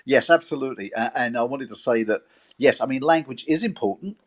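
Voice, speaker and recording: A man in an online discussion, telling a history story with animated rhetorical questions. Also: mid-range voice, fairly quick. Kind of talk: casual storytelling.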